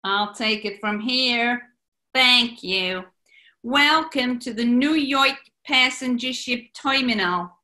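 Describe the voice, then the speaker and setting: A woman's voice: a little high, takes it slowly, pushed and bright. A woman playing a brash, pushy New York woman announcer in a 1940s-style comedy radio play. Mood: officious.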